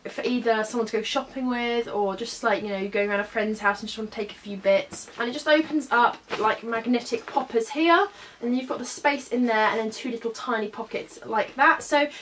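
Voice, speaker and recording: A young woman, slightly hoarse from a cold, chatting casually and upbeat through a shopping haul vlog, full of fillers. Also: fairly high pitch, brisk, fairly bright tone, a little hiss or hum, poor audio. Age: young adult. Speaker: beauty vlogger.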